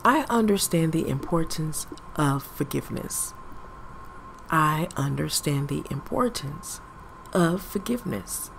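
A woman reciting affirmations in a meditative style, with a creaky voice. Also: deep voice, animated, unhurried, rough recording. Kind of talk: slow spoken affirmations, meditation. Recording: ambient noise behind voice.

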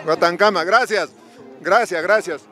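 Guy with a highish voice interviewing folks at a village fiesta, joking around, music behind. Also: a little slow, bright, forceful tone, a faint crowd. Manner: jocular, teasing. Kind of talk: casual on-the-spot interviewing. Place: outdoors at a village festival lunch. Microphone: handheld microphone. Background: music and outdoor sounds.

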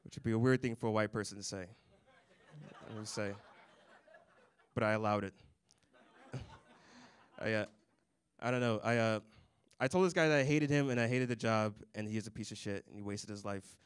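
A young man performing a stand-up set on a comedy club stage into a handheld mic, with a deadpan, dry delivery and little chuckles. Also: on the low side, moderate pace, pauses often, clean tone.

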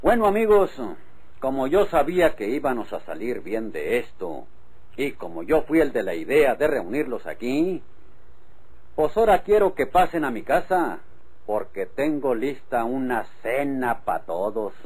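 An actor playing a hearty, domineering rural host with a Mexican accent in an old radio drama. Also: male voice, medium pitch, sing-song, measured pace, a little mellow, slightly rough, rough recording. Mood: hospitable.

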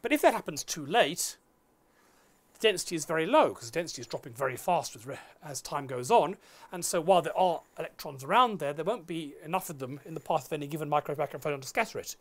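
A man's voice: a little high, expressive, fast. A middle-aged man giving an informal, explanatory science lecture in a studio. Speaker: astronomy lecturer. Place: indoor video studio.

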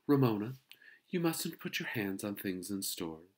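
A man's voice: on the low side, big pitch swings, unhurried, slight background noise, voice pushed up. A man voicing a strict, exasperated mother of a young girl in a children's storybook, firm and scolding.

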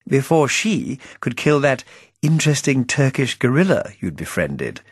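A man's voice: mid-range voice, very animated, a little slow, husky, no room sound, studio quality, pitched above the performer's own voice. A man voicing a chipper, suave English spymaster in a storybook, with jaunty upper-class patter and drawled endearments. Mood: affable, confiding. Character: chipper, suave British spymaster, breezy and affable.

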